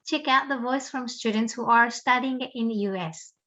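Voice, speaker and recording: A woman giving a measured, explanatory scripted narration for a university program video. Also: on the high side, bright, forceful tone. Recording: dull lo-fi sound.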